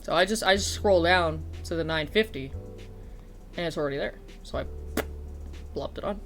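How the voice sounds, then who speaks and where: A woman's voice: low-pitched, normal speed, music in the background. A woman streamer and teacher chatting casually and a bit stuffy-nosed, swearing and poking fun at herself. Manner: chatty, swears, self-deprecating. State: sniffly, stuffy nose. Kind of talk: casual chat while streaming.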